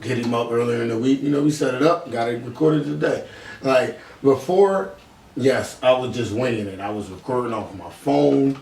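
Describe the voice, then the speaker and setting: Man, casual slangy podcast host, mid-pitched, distant mic, roomy echo. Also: normal speed, gravelly, a little mellow. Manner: slangy, informal. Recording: echoey room, off-mic.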